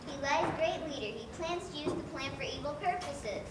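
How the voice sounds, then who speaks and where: A distant, echoey stage carries a woman acting a suspicious guardian creature. The high voice is stern and formal, accusing in a children's play manner. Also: expressive, brisk. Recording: echoey stage from afar, noisy background.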